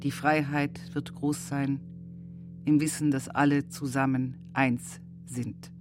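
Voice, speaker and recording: An actor playing a solemn medium in a radio play, prophesying in measured, oracular phrases. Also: male voice, mid-range voice, flat delivery, darkish tone, natural voice. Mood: solemn, visionary. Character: solemn male medium prophesying a better future world. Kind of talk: scripted radio play monologue, prophetic declaration. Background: music.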